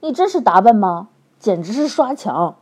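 A woman voicing an impatient young swordsman who talks loudly and bluntly. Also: mid-range voice, sing-song, normal speed, husky. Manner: loud and blunt.

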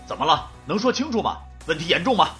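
A man's voice: a little high, very animated, quick pace, sharp tone, faint music behind, voice pushed up. A man playing an alarmed male brain scientist in radio drama dialogue, urgent and questioning.

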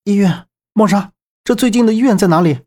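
A man's voice: high voice, brisk, darkish tone, pitched above the performer's own voice. A man voicing an agitated male friend in an audiobook, scolding and demanding answers urgently. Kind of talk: audiobook character voice.

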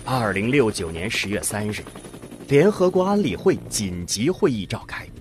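A man narrating an audio drama from a script in a deliberate, dramatic storytelling manner. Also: on the low side, big pitch swings, slight background noise. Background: music.

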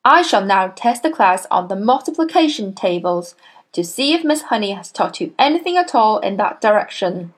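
A woman voicing a sarcastic, bullying headmistress who barks and sneers loudly. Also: medium pitch, sing-song, moderate pace. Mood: scornful. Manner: barking, sneering, loud.

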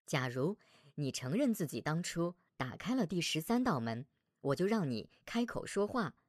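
A man voicing a stern yet merciful heavenly holy woman in a storybook tale, speaking in a measured, solemn, admonishing way. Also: a little high, very animated, dry recording, clean studio sound, pitched above the performer's own voice. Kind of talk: storybook character voice.

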